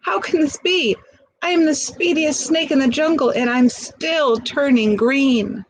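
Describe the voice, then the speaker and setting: A woman's voice: high-pitched, unhurried, voice pushed up, rough recording. Woman voicing an eager, defiant young storybook snake. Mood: shocked. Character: young male snake, energetic, defiant, vain, picture-book hero.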